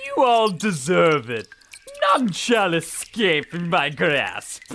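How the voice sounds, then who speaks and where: A woman's voice: lowish voice, very animated, slow, noisy background, full character voice. A woman playing a menacing, gloating giant rat king in a theatrical comic audio drama. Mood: gloating menace. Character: villainous cackling giant Rat King extorting tourists.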